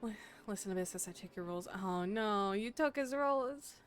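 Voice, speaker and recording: A woman's voice: mid-range voice, moderate pace, clear, resonant tone. A young adult woman streaming a game, chatting casually in a playful, teasing way and laughing often.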